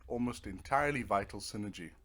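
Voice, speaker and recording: Low-voiced man doing casual game commentary. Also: quick pace, fairly bright tone. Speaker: gamer playing and narrating a video game. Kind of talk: casual let's-play commentary.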